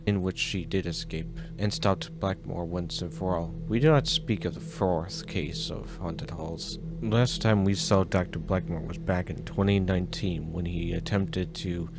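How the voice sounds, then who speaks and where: A man's voice: low-pitched, background noise. A man playing a formal, grave informant who delivers emphatic dramatic exposition in a horror drama. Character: formal, grave male informant recounting a madman's crimes. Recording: music playing behind the voice.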